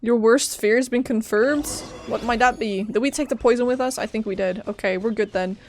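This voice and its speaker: A woman's voice: on the high side, fairly quick, slight background noise. A woman giving casual, chatty live commentary as a game streamer, full of offhand asides.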